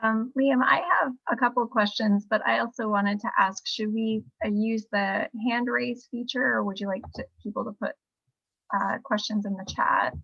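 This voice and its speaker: A woman's voice: fairly high pitch, fairly even intonation, normal speed, vocal fry, a bit bright, dry recording, highs cut off. A woman on a video call asking polite, slightly stumbling questions of a panel. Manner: polite, stumbling over words.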